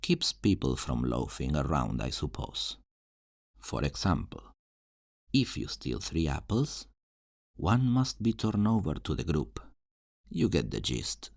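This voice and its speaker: A man playing a charming, roguish fantasy thief in an ASMR roleplay, flirtatious, teasing and theatrical in his smooth talk. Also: rumbling low, sing-song, a little slow, darkish tone, no room sound, clean studio sound, heavily acted. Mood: wry instructing. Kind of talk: ASMR roleplay, scripted character acting.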